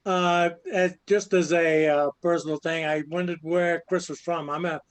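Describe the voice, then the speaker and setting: A man's voice: a little high, steady tone, normal speed. A man with an English accent from Birmingham, chatting casually as an online audience member. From Birmingham, England. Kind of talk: casual chat.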